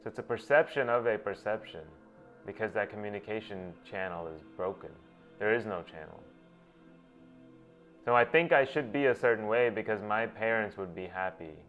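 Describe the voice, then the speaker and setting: A man with a lowish voice, a native Gujarati speaker, talks through his ideas in a thoughtful monologue and often circles back to restart a phrase. The sound is a bit dull and lo-fi, with faint music underneath. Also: normal speed. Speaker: host. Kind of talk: reflective monologue.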